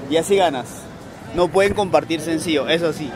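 A man's voice: a little high, fairly quick. A young man filming a street challenge vlog at night on a busy city street, coaxing strangers with an upbeat manner and laughing often. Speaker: street vlogger. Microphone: handheld camera following him. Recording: crowded street noise. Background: crowd chatter and passing traffic.

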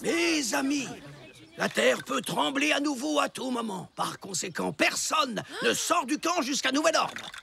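Casting: solemn elderly cartoon chief, heavily gravelly, swooping delivery, music underneath. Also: male voice, extremely high pitch, unhurried, a crowd in the background, heavily acted. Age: older. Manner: ceremonious, animated. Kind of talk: French children's cartoon acting. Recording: music behind.

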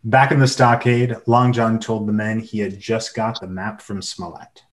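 Man as adventure narrator, lowish pitch, scripted, dramatic, radio play. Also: level tone, moderate pace, close to an everyday voice. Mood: storytelling. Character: storyteller recounting an adventure.

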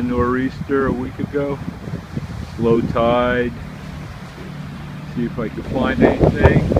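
Slow-talking man outdoors in strong wind. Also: mid-range voice. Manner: relaxed, plain-spoken, with frequent "uh" fillers. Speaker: metal detecting hobbyist. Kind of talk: casual outdoor vlog narration. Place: windy beach and field outdoors. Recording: loud wind noise on the mic.

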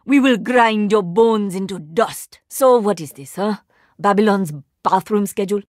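A woman's voice: mid-range voice, measured pace, no echo, studio quality, lightly acted. An actress playing a proud, poised Indian woman agent in a video game, with an Indian accent and formal, precise, dryly sarcastic phrasing. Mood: grave, threatening. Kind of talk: video game character voice lines.

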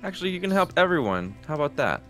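Man acting a lively, higher-voiced fretful mage. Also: fast, loud music behind the voice. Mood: musing. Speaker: roleplay performer. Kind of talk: improvised fantasy roleplay acting.

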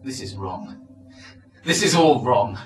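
A man's voice: mid-range voice, lively intonation, slow pace. A young man on stage playing a defiant, confrontational character rebelling against his controller, with emphatic theatrical delivery. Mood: distraught. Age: young adult. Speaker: stage actor. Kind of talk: theatrical stage acting, heated dialogue. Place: dark theatre stage, indoors. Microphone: far camera. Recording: echoey stage, distant camera microphone, music behind.

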